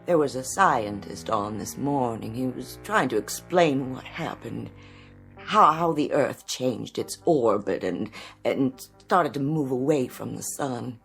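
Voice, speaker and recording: A very low, gravelly voice of a motherly older American woman in radio drama. She is gentle and solemn, speaking slowly and haltingly. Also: expressive, faint music behind, full character voice. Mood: grave, fearful. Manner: halting, tender.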